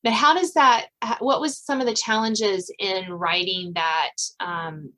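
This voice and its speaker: A woman hosting a casual interview podcast, feeling her way through what she says in a halting, rambling manner. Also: medium pitch, steady tone, pushed and bright. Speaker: podcast host.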